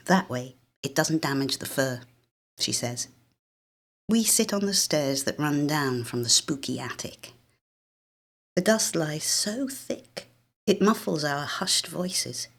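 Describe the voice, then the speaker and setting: A British woman narrating a literary short story as a dramatic reading. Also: deep voice.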